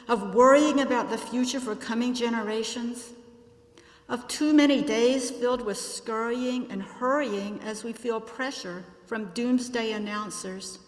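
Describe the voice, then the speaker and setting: A woman with a slightly high voice leads a formal prayer. She speaks very slowly and reverently, and the large hall gives her words long reverb. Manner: solemn, measured, reverent. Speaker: prayer leader. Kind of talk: slow, formal spoken prayer.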